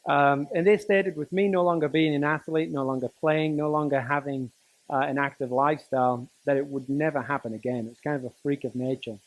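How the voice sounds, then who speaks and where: A middle-aged man from England, giving a personal talk to students in a conversational, halting way with dry humour. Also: a little high, steady tone, dry recording, rough recording. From Newcastle, northern England. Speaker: teacher and coach giving a speech to students. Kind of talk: personal motivational talk, storytelling.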